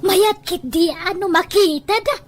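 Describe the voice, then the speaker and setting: A woman playing a lively, defiant village woman in an audio drama, breezy and unbothered by what others think. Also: extremely high pitch, measured pace.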